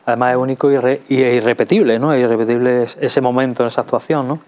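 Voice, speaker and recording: A man's voice: medium pitch, fairly even intonation, quick pace, a little mellow. A man in his thirties with a Spanish accent, conversationally interviewing as a TV host. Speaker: TV culture show host. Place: TV studio. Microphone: lapel mic.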